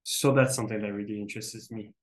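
A man from Brazil, a native Portuguese speaker, talking in fluent English as a podcast guest, quick and relaxed with a self-deprecating humor. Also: on the low side, slight background noise. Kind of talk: casual interview conversation.

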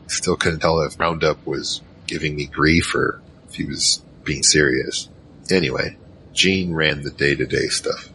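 Very deep-voiced hesitant storyteller guy, played by a man. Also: vocal fry, bright, forceful tone, slight background noise, heavily acted, low-quality recording. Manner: conversational, hesitant, searching for words. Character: tall, curious, hesitant awakened man recounting his adventure. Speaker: narrator and lead character. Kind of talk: in-character first-person scripted narration.

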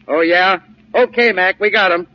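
An actor playing a brusque, tough highway policeman in a 1940s radio drama. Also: moderate pace, lightly acted. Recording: dull, faintly noisy old radio recording.

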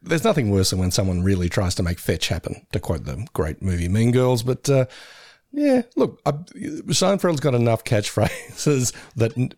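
A male podcast co-host with a gravelly, lively voice that jumps around in pitch. He jokes and teases, recorded dry and close. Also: normal speed, dry recording. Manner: jokey, self-mocking, laughs often. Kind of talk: casual podcast chat.

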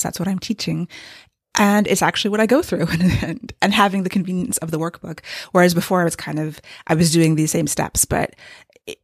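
A woman talking casually about her writing in a candid, rambling way, her voice strained and gravelly from overuse. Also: on the low side, no echo, studio quality. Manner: candid and rambling, with light laughs. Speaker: novelist giving a writing update. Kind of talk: casual vlog monologue. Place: home with hardwood floors.

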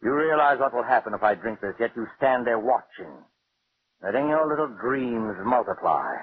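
An actor playing a bitter, taunting wealthy husband resigned to death in a theatrical 1940s radio drama style. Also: male voice, medium pitch, lively intonation, a little hiss or hum, close to an everyday voice. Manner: theatrical, sardonic, deliberate. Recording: muffled old radio recording with music.

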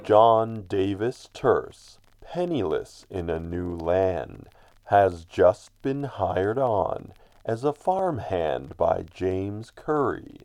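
A man's voice: sits low, animated, extremely slow, a little mellow, no echo. A man narrating a crime radio drama in an ominous, theatrical manner. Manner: ominous, theatrical, addresses characters directly.

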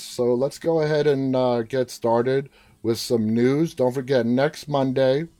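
New york guy hosting a live stream, talks slow and easy, chatty. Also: medium pitch, darkish tone. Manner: chatty, reads viewer comments aloud. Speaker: live show host. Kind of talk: casual live-stream chat.

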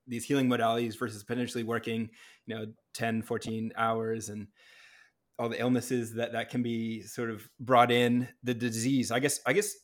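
A man hosting an interview, speaking softly and haltingly, often repeating words as he thinks. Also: mid-range voice. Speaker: podcast host. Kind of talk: conversational interview.

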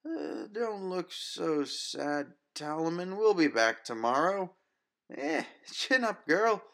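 Man putting on a high-pitched, jovial barroom drunk. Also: animated, slow, a bit bright, full character voice. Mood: jovial, consoling. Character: drunken bar patron consoling the bartender, jovial and familiar.

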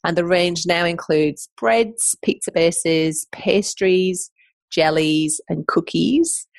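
Chatty English-accented woman, gravelly lows. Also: slow, dry recording. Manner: chatty and enthusiastic. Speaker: podcast host. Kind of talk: casual podcast monologue.

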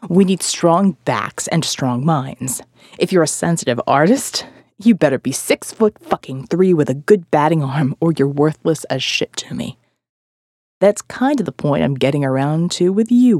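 A woman playing a gruff, foul-mouthed male survivalist leader in audio fiction, blunt and world-weary. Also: low voice, a little mellow, dry recording, studio quality, heavily acted. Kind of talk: dramatic audio fiction monologue.

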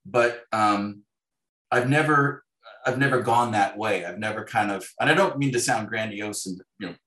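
Guy being interviewed, lowish voice, thinks out loud and rephrases a lot, pretty echoey room. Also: normal speed. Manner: hesitant, self-correcting, thoughtful phrasing with restarts. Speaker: interview guest, novelist. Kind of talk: reflective conversational interview. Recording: roomy, echoing sound.

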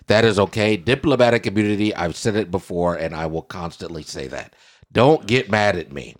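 A very deep-voiced man with a slightly raspy voice hosts a casual podcast. He tells stories with animated repetition and keeps the chat loose and playful. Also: a little fast. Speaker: podcast host.

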